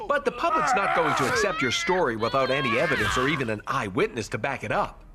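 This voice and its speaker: A man voicing an assured, calculating villain who can feign friendliness, in an animated video game. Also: mid-range voice, quick pace, very noisy, close to an everyday voice. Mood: friendly, eager. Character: smooth, confident male villain feigning friendliness.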